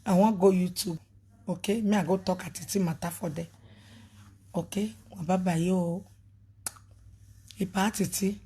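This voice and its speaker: Husky woman preaching. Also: on the low side, steady tone, unhurried, pauses often, dark tone. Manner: mixes pidgin, English and a local language, preachy and emphatic. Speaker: ministry leader speaking on a live video. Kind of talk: animated livestream talk.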